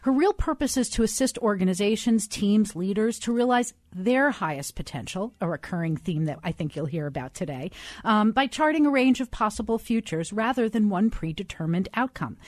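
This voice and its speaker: Female interview host, mid-pitched, conversational presenting style, very dry studio-like sound. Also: animated, a little fast, darkish tone, dry recording. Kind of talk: conversational broadcast interview with scripted introduction.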